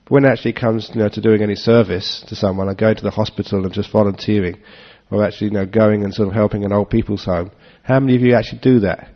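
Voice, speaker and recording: A low, gravelly man gives an easygoing Dharma talk, breaking into jokes and chuckles as he answers the audience. The recording sounds dull and lo-fi. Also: fast, hardly any pauses. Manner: relaxed, joking, chuckles often. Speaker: monk giving a Dharma talk.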